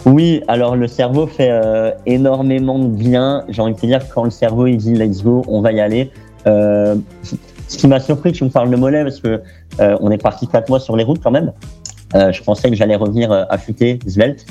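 A young man from France, a guest talking casually in an interview, relaxed and chatty with lots of fillers and restarts. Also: mid-range voice, brisk, highs cut off, faint music behind.

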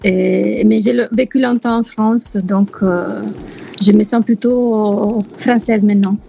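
Woman in her 50s, creaky dark voice, bit hesitant, radio guest. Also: medium pitch, steady tone, slow, slight background noise. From Italy. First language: Italian. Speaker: interview guest. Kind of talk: radio interview.

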